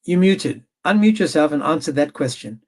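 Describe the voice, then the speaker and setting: A man's voice: a little high, lively intonation, normal speed, a little mellow. A man hosting a live online debate, pressing his point insistently and repeating himself as he talks over his guest.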